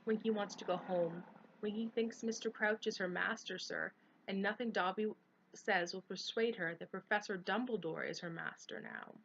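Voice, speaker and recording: A woman's voice: mid-range voice, moderate pace, creaky, fairly bright tone, lo-fi sound, slight background noise. A woman reading aloud, voicing an anxious, devoted male house elf who talks about himself in the third person. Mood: sad.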